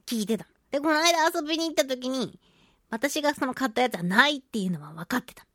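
Female radio host, high and very animated voice, playful giggly chat, dry studio-close sound. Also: fast talker, dry recording. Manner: giggly, chatty, playfully self-narrating in mock-polite phrases. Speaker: radio show host and voice actress. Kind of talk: casual chatty solo radio talk.

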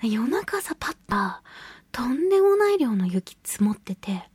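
A woman hosting a solo radio show, chatting in an animated, self-deprecating storytelling manner. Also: on the high side, unhurried, breathy edge, no room sound. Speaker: radio show host and voice actress.

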